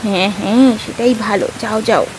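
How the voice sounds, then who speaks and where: A woman's voice: medium pitch, fairly quick, background noise, close to an everyday voice. A woman playing a devout, anxious poor farmer's wife in a cartoon, with a lamenting, pleading manner. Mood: concerned. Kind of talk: cartoon voice acting.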